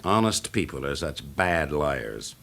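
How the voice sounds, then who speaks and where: An actor playing a shrewd, wry fire investigator with a British accent in a classic radio drama, explaining things drily. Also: male voice, extremely low pitch, big pitch swings, slow pace, fairly bright tone, exaggerated voice. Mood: confident, wry. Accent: British English.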